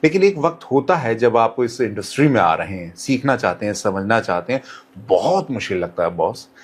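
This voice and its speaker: A man who works as a radio presenter, answering interview questions in measured, courteous, formal phrasing with English words mixed in. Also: on the low side, animated, husky. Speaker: radio presenter, podcast guest.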